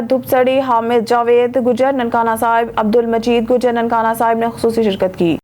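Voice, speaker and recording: A woman's voice: a little high, darkish tone. A woman reading a scripted news bulletin, formal, going steadily through long lists of names. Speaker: news reader.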